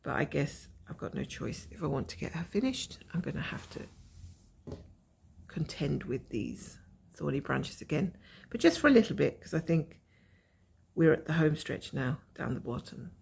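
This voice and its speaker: A woman with a croaky voice from a cold, chatting casually and rambling as she shows her cross-stitch progress from home. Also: low-pitched, sing-song, normal speed, a little mellow. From Scotland. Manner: rambling, chatty, self-mocking.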